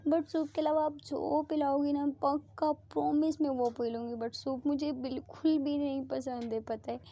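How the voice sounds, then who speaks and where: A woman's voice: high-pitched, fairly even intonation, moderate pace, mellow, dull audio. A woman doing a whiny, fussy young woman. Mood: apologetic. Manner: whiny and over-dramatic. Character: fussy, dramatic young woman who hates soup.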